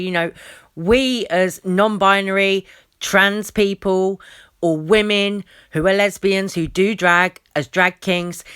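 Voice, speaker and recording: A woman's voice: medium pitch, fairly bright tone, smooth. A woman hosting an opinionated commentary video, speaking in an emphatic, conversational way.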